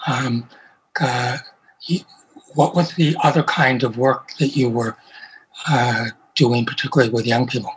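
A man interviewing a guest, asking long, measured questions that trail along. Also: mid-range voice, fairly even intonation, a little hiss or hum, low-quality recording.

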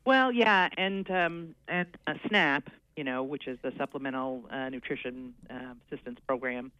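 A man speaking in an ordinary middle register, working through his points with many pauses, fillers and restarts. His voice comes through a dry, slightly muffled radio recording. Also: medium pitch, sharp tone, clear, resonant tone, dry recording. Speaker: guest coordinating aging services. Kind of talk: radio interview, hesitant conversational. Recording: dull lo-fi radio sound.

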